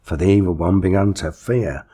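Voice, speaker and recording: A man reading a story aloud as expressive, theatrical, deliberate scripted narration. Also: low-pitched, slightly rough. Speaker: audiobook reader.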